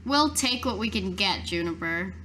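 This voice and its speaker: A woman's voice: mid-range voice, lively intonation, moderate pace, pushed and bright, slight background noise. A woman playing a tabletop game, improvising casually in character.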